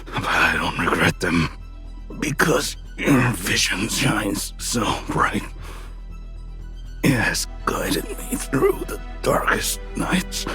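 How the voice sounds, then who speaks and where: A loyal male retainer on the edge of death in a game cutscene. His breathy, broken voice drags out very slowly and swings widely in pitch. Music plays behind him. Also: very animated, sharp tone, exaggerated voice. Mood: fading, tender. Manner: halting, very slow. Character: devoted, dying male retainer to a young master. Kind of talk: video game cutscene acting.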